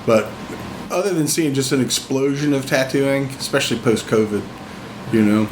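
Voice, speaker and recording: A man's voice: medium pitch, moderate pace. A man in his fifties chatting casually and explaining things informally, like a veteran tattooer talking shop. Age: 50s. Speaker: veteran tattoo artist, podcast guest. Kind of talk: casual shop-talk conversation. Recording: roomy, noisy room with hum.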